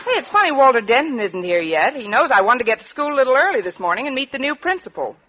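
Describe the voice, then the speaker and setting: An American actress playing a wisecracking, sardonic English teacher with dry delivery in a 1940s radio comedy. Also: female voice, high voice, very animated, quick pace, sharp tone, heavily acted. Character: wisecracking woman high school English teacher, dreamy and sardonic. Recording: dull vintage radio recording.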